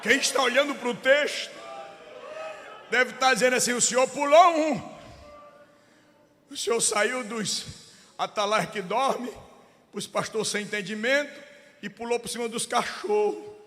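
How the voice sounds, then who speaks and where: A middle-aged man from Brazil preaching a sermon. He moves between soft, chatty storytelling and loud, shouting preaching. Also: very high voice, measured pace, long pauses, a little hiss or hum. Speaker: pastor. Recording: long hall reverb.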